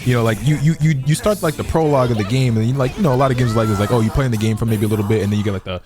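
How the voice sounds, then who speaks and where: A man's voice: medium pitch, racing, music in the background. A man streaming and chatting casually, laid-back and rambling, full of "like" and repeated words. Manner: laid-back, swears freely. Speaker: gaming streamer.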